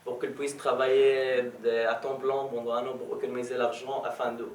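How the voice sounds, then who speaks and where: A young man from Palestine speaking French, giving a personal testimony as a guest speaker, often pausing and restarting his phrases. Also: mid-range voice, flat delivery, a little hiss or hum. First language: Arabic. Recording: roomy, distant mic, faint background ambience.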